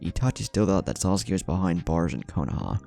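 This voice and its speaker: A man narrates a scripted story in an extremely deep, gravelly voice. His tone is dark and mellow, with music faintly playing behind him. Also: lively intonation, brisk. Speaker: fan fiction narrator.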